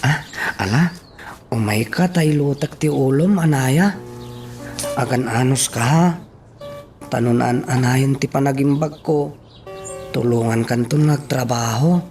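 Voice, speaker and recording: A man playing a gentle, devoted young suitor in an Ilocano radio drama, with a hesitant, solicitous way of talking. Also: medium pitch, unhurried, long pauses, faint music behind, lightly acted. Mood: tender, humble.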